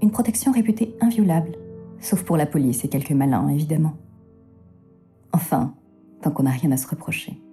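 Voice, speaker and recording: Actress for female third-person narrator, noir thriller audio drama; deep for a woman, very soft-edged dark timbre, wry and measured. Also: soft background music, lightly acted. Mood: wry, expository.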